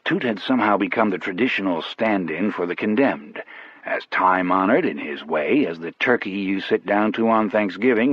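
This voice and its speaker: Low, husky male audiobook narrator. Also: no room sound. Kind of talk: scripted first-person storytelling. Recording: dry but muffled, dull audio.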